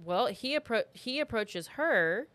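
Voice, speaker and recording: A woman's voice: on the high side, animated, measured pace, fairly bright tone, dry recording. Woman in her thirties, dry close sound. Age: 30s. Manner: informal, loosely worded, acts out reported remarks. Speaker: podcast host telling a true crime story. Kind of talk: casual conversational storytelling.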